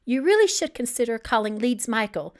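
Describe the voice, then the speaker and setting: A woman's voice: a little high, big pitch swings, fairly quick. A woman voicing a timid, bookish young male assistant in an audiobook, flustered and anxious, stammering a little. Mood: anxious, apologetic.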